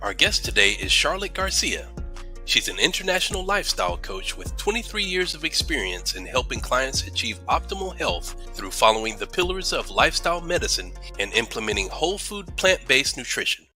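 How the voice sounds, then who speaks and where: Bright, animated man hosting a podcast. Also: medium pitch, fairly quick, soft background music. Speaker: podcast host. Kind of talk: casual interview chat.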